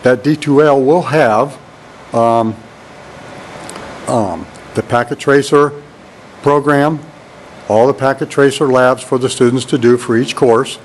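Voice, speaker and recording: A networking instructor with a deep, monotone voice, rambling a little and saying "all right" often. He was recorded on the mic of a handheld camera as he walked through an empty computer lab. A faint hum of air conditioning sits behind him. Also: unhurried, pauses often, poor audio. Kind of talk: casual classroom tour walkthrough. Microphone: handheld camera mic while walking.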